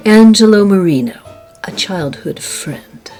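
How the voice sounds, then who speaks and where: A woman's voice: mid-range voice, expressive, measured pace, a little mellow, soft background music, natural voice. A woman playing a proud, guarded young socialite in a 1940s noir radio play, speaking in a composed, formal way. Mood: composed, secretive.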